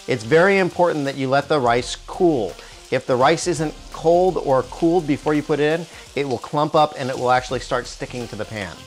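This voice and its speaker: A man in his forties hosting a cooking show, explaining each step calmly in a home kitchen with small restarts, on a lapel mic. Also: mid-range voice, brisk. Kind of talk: cooking demonstration. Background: soft music and sizzling wok.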